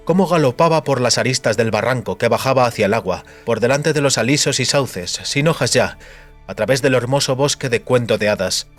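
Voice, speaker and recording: A man reading scripted fantasy narration as an audiobook narrator. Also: mid-range voice.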